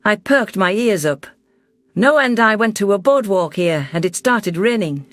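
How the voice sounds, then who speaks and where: High-voiced male sci-fi narrator. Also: animated, a little slow, fairly bright tone, no echo. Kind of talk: first-person sci-fi audiobook narration.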